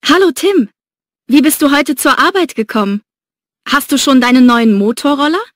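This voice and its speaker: High, dry-voiced curious coworker. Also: female voice, animated, moderate pace, dry recording. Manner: questioning, surprised tone.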